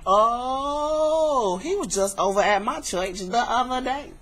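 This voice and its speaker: A woman's voice: on the high side, animated, slow, a bit bright, full character voice. A woman playing a nosy, doting elderly church lady on a prank call, folksy and preachy with sugary endearments. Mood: folksy, teasing. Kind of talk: improvised prank phone call. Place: indoor room at home. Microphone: webcam microphone. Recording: noisy, humming webcam recording.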